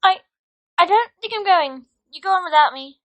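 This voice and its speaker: A woman acts as an anxious young witch at school, bookish and earnest. Her voice is high and bright, made for an audio drama. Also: animated, normal speed, lo-fi sound. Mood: panicked.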